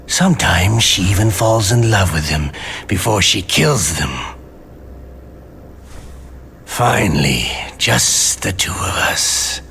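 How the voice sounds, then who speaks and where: A man playing a cold, gloating middle-aged interrogator in a video game, taunting and menacing in a deliberate delivery. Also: on the low side, animated, vocal fry, bright, forceful tone, slight background noise, exaggerated voice. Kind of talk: dramatic video game voice acting. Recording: music under the voice.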